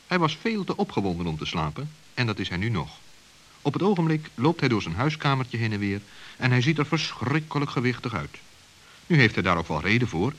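A man's voice: medium pitch, fairly bright tone. A man narrating a children's radio story in a storytelling style. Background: music.

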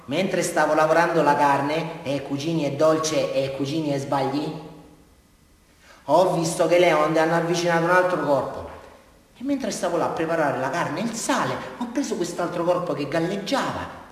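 An actor playing a deranged, naive murderer with a southern Italian accent, rambling and repeating himself childishly in a theatrical radio drama confession. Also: male voice, on the high side, fairly bright tone. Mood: proud, excited. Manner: rambling, repetitive, childlike, addressing his listener. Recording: very echoey hall, far from mic.

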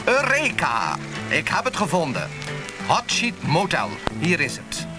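A man's voice: fairly high pitch, wildly swooping pitch, measured pace, loud music behind the voice. A man acting in a Dutch radio play as a self-satisfied traveller, theatrical and given to muttering to himself. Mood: pleased. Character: self-satisfied male motel guest, a weary traveller.

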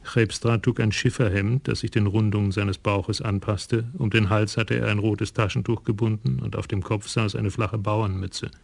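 A man narrating a German radio crime drama in an even, understated way. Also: on the low side, moderate pace, vocal fry, few pauses, no echo.

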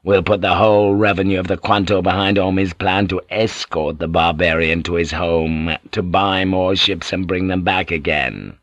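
A man's voice: low-pitched, fairly even intonation, normal speed, hardly any pauses, no room sound. A man voicing a scheming samurai lord. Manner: quiet, eager, calculating.

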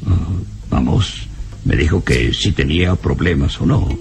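An actor playing an anxious, protective professor in an old radio serial, speaking in a worried, hesitant and dramatic way. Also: male voice, very deep voice, unhurried, creaky, a little mellow, faint music behind, full character voice. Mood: uneasy. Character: anxious, fearful male professor, protective guardian.

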